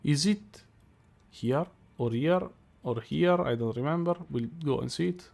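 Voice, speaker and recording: A man with a strong Italian accent, a streamer musing out loud over live gameplay with many fillers and restarts. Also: on the high side, a little slow, vocal fry. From Italy.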